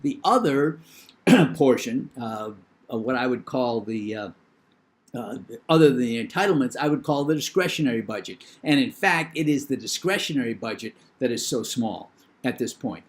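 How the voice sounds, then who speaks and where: An older man with a New England accent giving a recorded lecture in a measured, opinionated way, with thinking pauses and fillers. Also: mid-range voice, animated.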